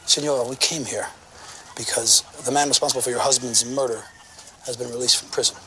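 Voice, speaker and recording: An actor playing an earnest, courteous police lieutenant in a radio drama, speaking in a measured, serious way. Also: male voice, medium pitch, fairly even intonation, bright, forceful tone, a little hiss or hum, natural voice, poor audio. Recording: music behind the dialogue.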